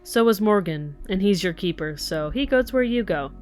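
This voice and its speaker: A woman's voice: medium pitch, expressive, normal speed, a little mellow, natural voice. A woman playing an angry, foul-mouthed police detective in an audio drama, blunt and sarcastic. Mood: irritated, commanding.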